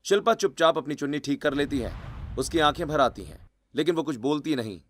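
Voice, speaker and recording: A man's voice: a little high, animated, a little fast, a bit bright. A man reading out scripted story narration.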